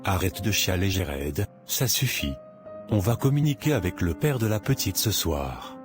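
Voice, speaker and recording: An actor playing a cocky young male gang leader in an animated drama, brushing others off. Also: sits low, measured pace. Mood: dismissive. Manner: dismissive, unbothered. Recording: music under the voice.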